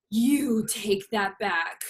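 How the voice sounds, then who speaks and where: A woman's voice: fairly high pitch, heavily acted. A woman playing a vain, competitive young best friend who is smug and easily riled, at a script table read.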